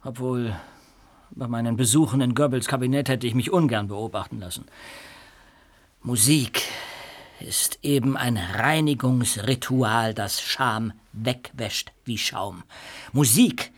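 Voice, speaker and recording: An actor playing a man in his sixties, an aloof conductor, measured and scornful. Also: mid-range voice. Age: 60s.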